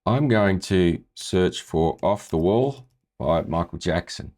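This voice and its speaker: A man with a low voice talking casually as a music tutor, walking through a screen-share tutorial and often checking in with "right?". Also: expressive, slow pace, darkish tone.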